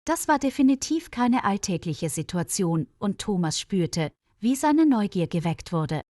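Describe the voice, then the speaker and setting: A woman reading a scripted story as narrator, in a measured, clearly enunciated way. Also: medium pitch, big pitch swings, mellow, dry recording, studio quality. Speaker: audiobook narrator of a German learners' story.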